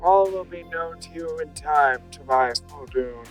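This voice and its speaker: A man's voice: a little high, unhurried, lightly acted. A man playing a stately alien robot envoy, formal and declamatory, in a comic dub. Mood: solemn, formal. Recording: voice under background music.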